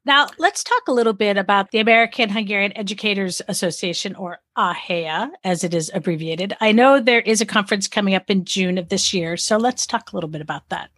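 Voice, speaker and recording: Woman, interview host, enthusiastic, friendly reactions, mid pitch, dry recording. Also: fairly quick, hardly any pauses, fairly bright tone, no room sound. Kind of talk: hosting an interview.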